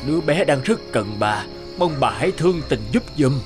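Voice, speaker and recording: An actor voicing a stern, secretive husband in a cartoon fairy tale, commanding and insistent. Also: male voice, fairly high pitch, expressive, moderate pace, natural voice. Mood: urgent, pleading. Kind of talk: animated fairy-tale dubbing. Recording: background music under the voice.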